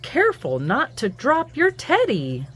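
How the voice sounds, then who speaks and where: A woman reading a children's picture book aloud in an expressive, singsong storytelling manner. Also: sits high, slightly rough. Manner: animated, singsong, deliberate storytelling. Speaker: children's book reader and host.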